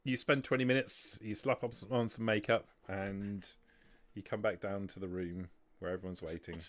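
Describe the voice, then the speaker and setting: A man's voice: on the low side, fairly even intonation, moderate pace. A man acting as game master, narrating and bantering casually with a dry, teasing manner.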